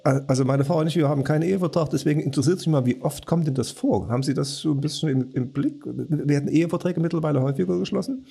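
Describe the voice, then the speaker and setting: An older man co-hosting a podcast interview, talking in a thoughtful, halting way and often repeating words as he restarts. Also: on the high side, creaky, mellow, slightly rough. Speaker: podcast co-host.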